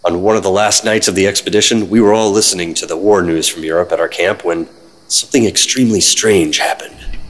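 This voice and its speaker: An actor playing a secretive, world-weary 1950s government agent, terse and deadpan, narrating an old-time radio drama. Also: male voice, low voice, brisk, low-quality recording. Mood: weary, reflective. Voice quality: husky. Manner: terse, deadpan, hard-boiled.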